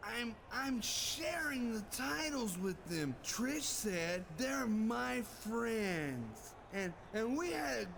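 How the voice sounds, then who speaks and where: An actor playing a gullible, sweet-natured young man in a video game, talking in a naive, halting way. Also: very high-pitched, big pitch swings, creaky, fairly bright tone, exaggerated voice. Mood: sheepish, pleased. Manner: naive, halting, repeats words. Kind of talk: video game cutscene acting. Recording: noisy game audio with ambience.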